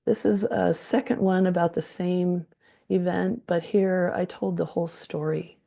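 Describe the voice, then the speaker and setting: An older woman speaks with a hoarse, gravelly rasp and a dark, mellow tone. She talks slowly and unhurriedly, presenting her quilts with frequent ums. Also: lowish voice, steady tone. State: hoarse from the flu. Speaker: textile artist presenting her work.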